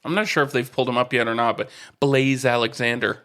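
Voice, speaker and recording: A man's voice: medium pitch, fairly even intonation, fast, a bit bright. A man co-hosting a sports podcast in casual, teasing banter, repeating himself for emphasis and swearing now and then.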